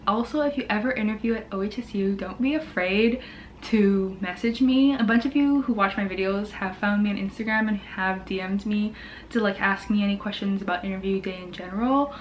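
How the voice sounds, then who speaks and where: A woman's voice: medium pitch, fairly quick, a little hiss or hum, low-quality recording. Chatty young woman vlogging at home. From Hawaii. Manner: chatty, upbeat, many fillers like "um" and "like". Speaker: dental student vlogger. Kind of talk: casual vlog chat. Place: home, indoors.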